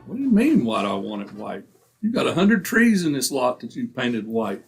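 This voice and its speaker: A man's voice: medium pitch, big pitch swings, moderate pace, soft background music, close to an everyday voice. A man acting a stubborn, penny-pinching husband in old-time radio comedy, indignant and folksy in manner. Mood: indignant haggling.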